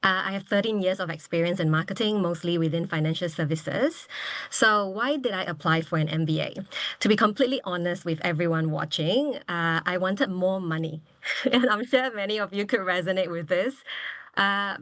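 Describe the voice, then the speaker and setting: A woman's voice: fairly low pitch, normal speed, a bit bright, dry recording. A woman in her thirties from Singapore, speaking as an MBA graduate guest on a webinar. She talks frankly and cheerfully over a video call, and laughs easily. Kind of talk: candid webinar testimonial.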